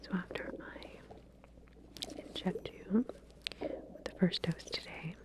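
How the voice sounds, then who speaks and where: A woman whispering softly in an ASMR roleplay as a medical researcher, gently giving reassuring instructions. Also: fairly low pitch, slow pace. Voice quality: breathy, unvoiced whisper.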